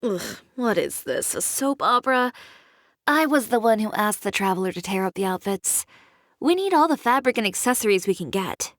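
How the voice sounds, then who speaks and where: An actress playing a cool, blunt young fashion designer in a video game, speaking in a composed, dry-witted, brisk way. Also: female voice, mid-range voice, very animated, no room sound. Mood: exasperated.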